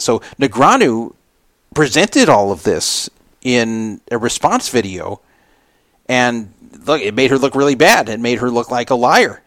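A man with an average-pitched voice talks like an opinionated radio host. He circles back over his points in a rambling, emphatic way, stressing them by repetition. The sound is dry, with little room around it. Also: fairly bright tone, dry recording. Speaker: podcast host. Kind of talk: casual opinionated radio talk commentary.

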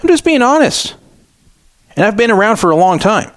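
A middle-aged man hosting a talk radio show, giving opinionated solo commentary with emphatic, deliberate phrasing and repetition for emphasis. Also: high voice, sing-song, a bit bright, no room sound, studio quality.

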